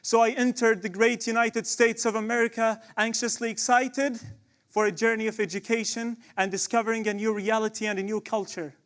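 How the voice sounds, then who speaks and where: A young man delivers spoken word poetry with fierce conviction. His voice sits notably high for a man, and he builds each section toward a repeated rhetorical refrain. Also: flat delivery, fairly quick, a bit bright. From Palestine, West Bank.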